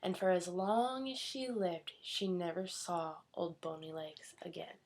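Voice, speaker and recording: A woman reading a children's storybook aloud in an expressive scripted narration style. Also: fairly low pitch, measured pace. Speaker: storybook reader.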